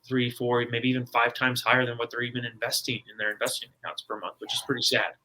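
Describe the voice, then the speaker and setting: A man's voice: mid-range voice, level tone, fast talker, bright, forceful tone, rough recording. A man from the United States, an interview guest, explaining and advising in a casual, plainspoken way. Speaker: guest, personal finance author.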